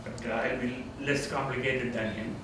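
An older man in his sixties with a Tamil accent, a retiring theology professor giving a reflective, gently self-deprecating farewell talk in a seminar room. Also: medium pitch, fairly even intonation, normal speed. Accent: Tamil-accented English. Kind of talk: informal farewell lecture with Q&A. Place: seminar room, indoors, medium-sized. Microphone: far camera. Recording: echoey room from far off, background noise.

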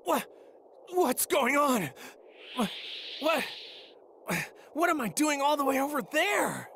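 Earnest, bright-toned anime hero. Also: male voice, very high-pitched, sing-song, takes it slowly, slight background noise, exaggerated voice. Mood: bewildered. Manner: plain-spoken, earnest. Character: earnest, good-natured heroic male martial-arts warrior. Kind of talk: anime game dub acting.

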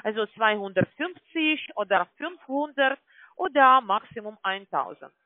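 A woman with a non-native German accent gives an explanatory phone sales pitch as a call-centre agent, often adding tag questions to confirm. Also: expressive, measured pace, no echo. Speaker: call-centre agent selling online trading. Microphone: telephone call. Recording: tinny, band-limited phone line.

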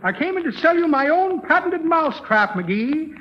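A man's voice: extremely high pitch, big pitch swings, moderate pace. An actor playing a pompous, shifty American con man with flowery, breezy talk in a 1930s radio comedy. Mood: breezy, rambling. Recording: lo-fi 1930s radio with audience noise.

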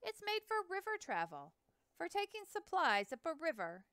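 A woman's voice: extremely high pitch, wildly swooping pitch, moderate pace, a bit bright, no echo, voice pushed up, full character voice. A woman voicing a plainspoken, proud male river dog breeder with a folksy way of talking. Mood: skeptical.

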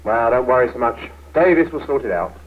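A man's voice: mid-range voice, animated, fairly quick, natural voice. Tinny, slightly noisy 1970s film sound with a man acting an easygoing British office colleague. He is wry and placating, with plenty of rise and fall in his voice.

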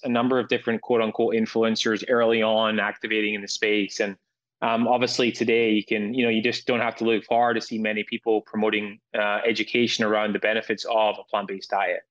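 Man in his thirties, flat even delivery, lists points steadily, dull lo-fi call audio. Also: fairly low pitch, a little fast. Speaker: founder, guest. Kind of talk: video-call interview. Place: indoor room, home. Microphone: video call.

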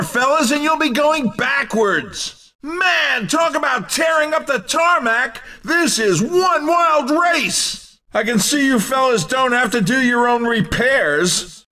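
A man's voice: very high-pitched, sharp tone, far from the mic, exaggerated voice. A man playing a brash, wisecracking race commentator in a video game, with an emphatic, teasing showman's delivery. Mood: sarcastic, mocking.

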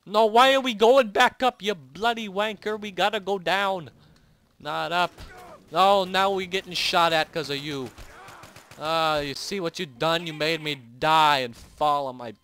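A man's voice: high-pitched, unhurried, smooth. Young man joking through gameplay. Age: young adult. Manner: joking, loud bursts when excited. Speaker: gaming channel host. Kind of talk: casual gameplay commentary. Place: home, recorded late at night.

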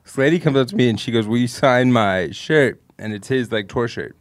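A man's voice: mid-range voice, a little fast, dry recording, clean studio sound. Man telling a story on a podcast. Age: 40s. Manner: relaxed anecdote telling with quoted dialogue. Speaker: comedian podcast guest. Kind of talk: casual chat, storytelling. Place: small podcast studio. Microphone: handheld mic.

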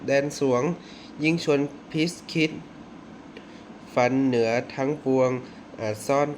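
A man's voice: mid-range voice, takes it slowly, a little hiss or hum. A man hosting a reaction video in casual, slangy speech, exclaiming and reading text aloud. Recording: music playing loudly behind the voice. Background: eerie music from the video being watched.

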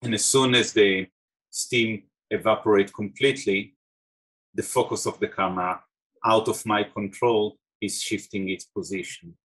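A middle-aged man from Israel with an Israeli accent, an artist talking over a video call about his films, thoughtful, pausing with fillers. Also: fairly low pitch, fairly even intonation, a bit bright, husky, low-quality recording. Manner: thoughtful, many restarts and fillers. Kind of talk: talk about his own work. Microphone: video call webcam.

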